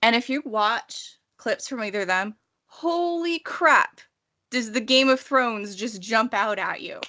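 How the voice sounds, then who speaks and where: Woman podcast cohost, bright voice, chatty and into it, goes off on tangents explaining stuff. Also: fairly high pitch, expressive, a little slow. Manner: enthusiastic, digressive explainer. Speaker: podcast co-host. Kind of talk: casual, chatty book discussion.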